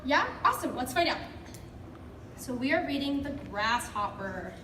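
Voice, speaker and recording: A woman's voice: high voice, sing-song, moderate pace. A woman teacher leading an interactive picture-book read-aloud for children, encouraging and prompting with questions. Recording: echoey room, distant mic, background noise.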